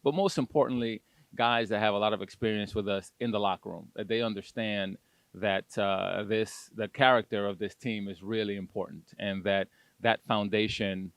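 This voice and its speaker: A middle-aged man, a head basketball coach, answering interview questions in a halting way with many fillers and restarts. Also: on the low side, fairly even intonation, fairly bright tone, no room sound.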